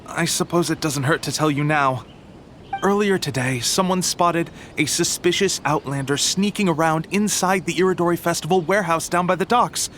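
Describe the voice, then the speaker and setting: A man's voice: fairly high pitch, moderate pace, fairly bright tone, slight background noise, close to an everyday voice. A man playing an apologetic, earnest publishing clerk in a video game, speaking deferentially.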